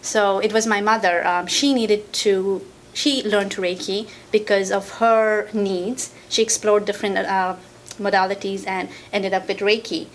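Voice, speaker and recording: A woman raised in Japan whose first language is Japanese, telling her story as a guest in a casual interview in a thoughtful, measured way. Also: medium pitch, fairly even intonation, slight background noise, rough recording. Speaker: guest, Reiki practitioner.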